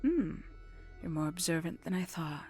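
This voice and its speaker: Over background music comes a low-pitched woman's voice performed by a game actress, secretive and brooding. She unspools her tale deliberately, with theatrical pauses, like a mystery game character guarding a family secret. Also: expressive, a little mellow, close to an everyday voice. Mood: wry, knowing. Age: adult, older than nineteen. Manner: measured, deliberate, theatrical storytelling with dramatic pauses. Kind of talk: video game voice acting, dramatic monologue. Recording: background music under the dialogue.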